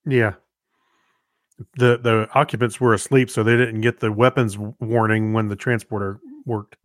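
A man's voice: on the low side, little pitch movement, moderate pace, no room sound. Casual male co-host, dry close sound. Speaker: podcast co-host. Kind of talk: casual podcast chat.

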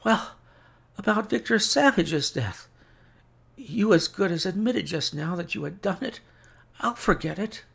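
A gasping, high-voiced dying invalid. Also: male voice, animated, creaky, a bit bright, slightly rough, full character voice. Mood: desperate pleading. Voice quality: labored, gasping, whispery. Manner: halting, pleading. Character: gravely sick man, weak, gasping, pleading for help.

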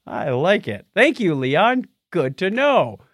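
A man's voice: sits high, sing-song, slow, a bit bright, no room sound, studio quality. A man co-hosting a podcast, mixing casual banter with read-out items and jokey wordplay asides. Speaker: podcast co-host. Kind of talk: casual banter mixed with reading listener mail and history items.

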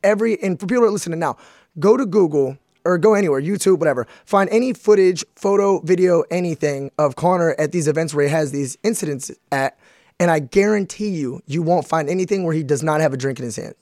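Male American podcast host, casual and blunt, higher register, dry close studio sound. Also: fast, darkish tone, no room sound.

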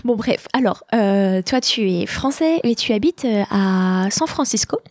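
A woman's voice: mid-range voice, expressive, unhurried, a little mellow, no echo. Curious woman interviewer, dry close sound. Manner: friendly, curious questioning. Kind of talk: casual interview conversation.

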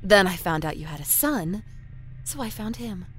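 An actress playing a vengeful, wounded and unhinged killer in an audio horror drama, bitter, intense and accusing. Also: female voice, medium pitch, lively intonation, normal speed, faint music behind, lightly acted.

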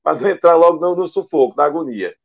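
A hoarse, nearly voiceless man co-hosting a live podcast, wry and self-deprecating. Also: on the high side, expressive, quick pace, muffled recording, a crowd in the background. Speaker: podcast co-host. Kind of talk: casual live-stream chat.

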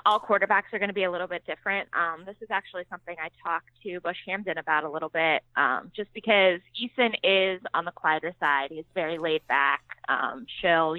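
A woman's voice: lowish voice, no room sound, muffled recording. An American woman, a sports beat writer, giving conversational answers as an interview guest. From Pittsburgh, United States.

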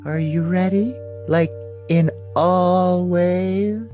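Clingy, girlish young woman, played by a man, very high voice, cutesy and melodramatic podcast reading. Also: fairly even intonation, drawn-out words, dark tone, soft background music. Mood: pleading. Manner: cutesy, theatrical, overly dramatic. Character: girlish, clingy, babyish young woman texting her boyfriend. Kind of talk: overly dramatic reading of text messages.

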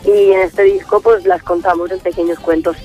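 A female singer from Barcelona answers a radio host's questions. She speaks in a relaxed, reflective way, taking her time with her thoughts. Light music plays faintly behind. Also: lowish voice, normal speed. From Barcelona, Spain. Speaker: singer, guest.

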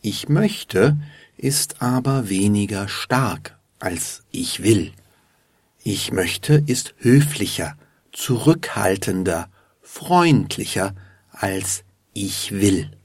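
A man hosting a lesson podcast, slowly and patiently explaining grammar to learners. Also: on the low side, animated, husky, dry recording, clean studio sound. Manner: deliberate and patient. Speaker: German teacher and podcast host. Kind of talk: slow, clear explanation for learners.

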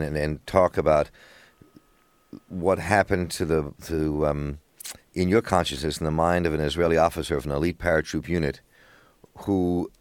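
A man hosting a radio interview series, asking measured, slightly halting questions. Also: low-pitched, no room sound. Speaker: interviewer and host.

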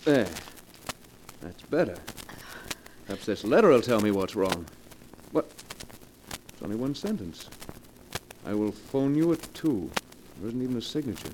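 An actor playing an angry, suspicious husband in a forceful, accusing 1940s radio melodrama style. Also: male voice, mid-range voice, big pitch swings, a little slow, long pauses. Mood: suspicious, demanding. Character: angry suspicious husband demanding the truth from his wife. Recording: noisy vintage 1940s radio recording.

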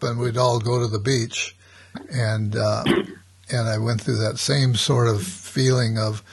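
A man hosting an interview, speaking slowly and reflectively and leaning on fillers. His voice is gravelly with a husky edge. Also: medium pitch. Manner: slow, reflective questioning with frequent fillers. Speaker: interview host. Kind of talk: podcast interview.

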